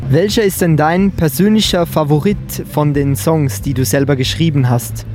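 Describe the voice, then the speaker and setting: A man's voice: a little high, normal speed. A man from Switzerland with a Swiss German accent, asking brief, friendly interview questions for radio at an outdoor festival. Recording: live music playing behind.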